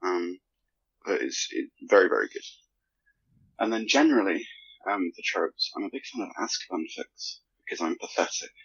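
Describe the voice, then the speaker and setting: A man in a casual podcast discussion, speaking reflectively and haltingly, with a self-deprecating streak. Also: low-pitched, expressive, a bit bright, slightly rough, no room sound, lo-fi sound. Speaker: podcast guest, fan fiction author.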